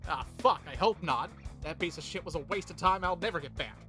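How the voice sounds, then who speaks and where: A man's voice: fairly high pitch, expressive, fairly quick, pushed and bright, faint music behind. A man voicing a foul-mouthed, sardonic male cartoon imp with a brash delivery. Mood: irritated, sardonic. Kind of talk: cartoon character banter.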